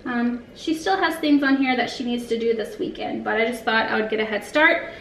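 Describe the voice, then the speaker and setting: A woman's voice: on the high side, fairly quick, a bit bright, a little hiss or hum. A woman in her thirties, a family vlogger, chatting casually to the camera in her kitchen with plenty of ums and uhs. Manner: chatty, loose, with fillers like um and uh. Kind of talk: casual vlog narration to camera. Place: kitchen of a family home, indoors. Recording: echoey room with faint music.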